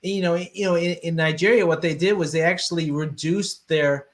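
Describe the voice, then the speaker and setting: Higher-pitched male interviewer, thinking aloud. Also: steady tone, moderate pace. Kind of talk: conversational interview.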